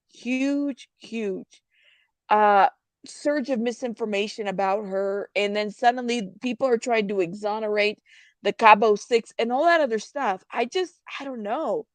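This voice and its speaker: A woman hosting a casual live news commentary, chatting to viewers in an informal, halting way with emphatic repetition. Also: fairly high pitch, no room sound.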